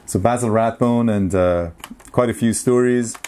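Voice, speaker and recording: A man in his forties chatting casually to camera as a vlogger, with an enthusiastic, appreciative and somewhat halting delivery. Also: medium pitch, mellow. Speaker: vlogger showing a music and tape collection. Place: small home room, indoors.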